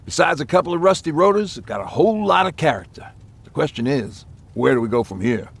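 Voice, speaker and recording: Game role: haunted yet easygoing older male survivor, husky and lively in pitch, chatty storyteller. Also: quick pace, full character voice. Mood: easygoing, concerned. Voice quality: gravelly, raspy. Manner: folksy, lighthearted, chatty. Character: folksy aging male survivor, lighthearted but haunted. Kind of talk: game voice acting, reminiscing dialogue.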